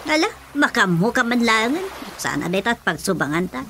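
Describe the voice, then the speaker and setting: A woman's voice: fairly high pitch, very animated, moderate pace. A woman playing an exasperated, questioning wife in an Ilocano radio comedy-drama, full of doubtful exclamations. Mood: skeptical. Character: old man's skeptical, questioning, exasperated wife.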